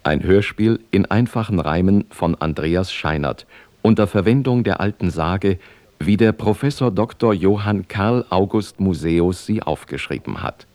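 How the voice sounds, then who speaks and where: A man reading scripted radio play credits as an announcer, formal and measured. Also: sits low, a little mellow, dry recording.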